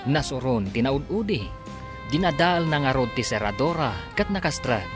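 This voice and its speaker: A man's voice: medium pitch, animated, normal speed. A man narrating and announcing a radio drama serial in a dramatic scripted style. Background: music.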